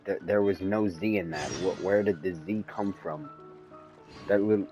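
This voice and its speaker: A man's voice: low-pitched, normal speed, music in the background. A man streaming a game, giving offhand, wry reactions in a casual way.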